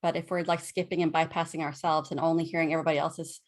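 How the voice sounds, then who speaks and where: A woman's voice: deep voice, level tone, quick pace. A woman who coaches others on self-trust, talking as a podcast guest in an earnest, conversational way. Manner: earnest, conversational, many fillers and self-quotes.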